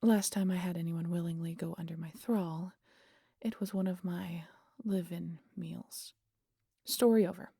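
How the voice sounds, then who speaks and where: Girl doing a snarky guarded vampire lady for audio rp, raspy, breathy whispery bits, teasing n fed up, really dry close recording. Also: medium pitch, a little mellow, no echo. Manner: teasing, exasperated, breathy asides dropping into whispers. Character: sardonic, guarded female vampire hypnotizing an injured hunter. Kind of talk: intimate audio roleplay acting.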